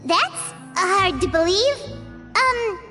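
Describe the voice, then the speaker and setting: A woman voicing a small, chirpy, childlike fairy companion with a squeaky voice in an anime-style video game. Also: animated, drawn-out words, exaggerated voice. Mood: chatty, surprised. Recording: music playing under the voice.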